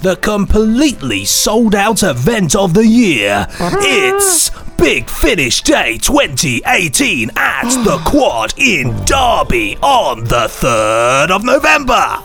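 A man with a fairly high, very animated voice chats as a podcast co-host. He is playful and often trips over his words. Faint music runs behind him. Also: slow, few pauses. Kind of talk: casual chat.

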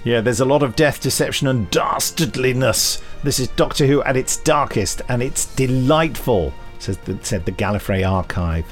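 A man's voice: medium pitch, normal speed, hardly any pauses, faint music behind. A man co-hosting an audio drama news podcast, chatting in a playful, jokey way. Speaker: podcast co-host. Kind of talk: casual chat.

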